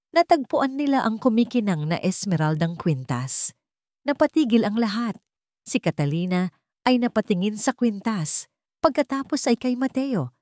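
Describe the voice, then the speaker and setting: A woman with a Filipino accent narrates a scripted story in a measured, dramatic way, in short phrases. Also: medium pitch, a little mellow, no echo, clean studio sound.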